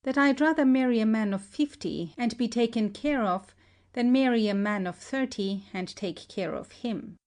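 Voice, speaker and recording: A woman's voice: a little high, moderate pace, a little mellow, natural voice. A woman playing a decided, romantic young society lady in a dramatic audiobook reading. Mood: fond, assured.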